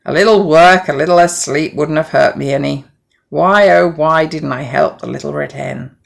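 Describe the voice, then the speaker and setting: A woman's voice: sits low, normal speed, vocal fry, heavily acted. A woman voicing a lazy, greedy fat little pig in a storybook, with a grunting, whiny manner. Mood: regretful. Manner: grunting, oinking.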